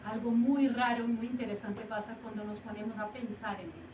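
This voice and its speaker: Actress chatting with the audience, kinda confused, roomy theater sound, noisy. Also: female voice, fairly high pitch, fairly even intonation, a little fast. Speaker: stage actor. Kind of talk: theatrical direct address to the audience. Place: small theater with a live audience. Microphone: far camera. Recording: echoey room, far camera, audience noise.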